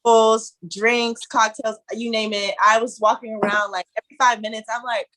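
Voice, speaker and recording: A woman's voice: on the high side, a little fast. Chatty young woman from Ohio. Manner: animated storytelling, lots of "like". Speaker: guest. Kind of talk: casual conversation.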